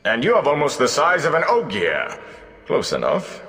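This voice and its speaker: A man's voice: wildly swooping pitch, a bit bright, in a large hall, faint music behind. A man voicing a boastful, theatrical old male bard who talks with grand, scornful flair. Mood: gruff. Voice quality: booming, scornful. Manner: grand, drawn-out delivery.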